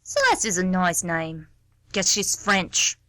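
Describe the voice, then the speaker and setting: A woman's voice: on the low side, wildly swooping pitch, slow, a bit bright, no echo, full character voice. A woman playing a chatty, nosy, prickly middle-aged mother in an amateur radio play, rambling, cheeky and easily affronted. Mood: chatty, aggrieved.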